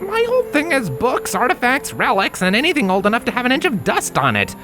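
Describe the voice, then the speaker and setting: Awkward, bookish pony with swooping pitch voiced by a woman. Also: huge pitch swings, a little fast. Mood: flustered. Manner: hesitant, awkward. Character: awkward, bookish, nervous young male pony, Lens. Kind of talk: dramatised fan fiction audio reading. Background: music.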